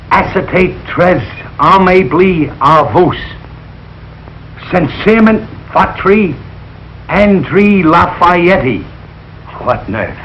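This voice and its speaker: A man's voice: sits high, full character voice. An actor playing a blustering, suspicious middle-aged working-class father in a 1940s radio situation comedy, exclamatory and emphatic. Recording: dull, noisy 1940s radio recording.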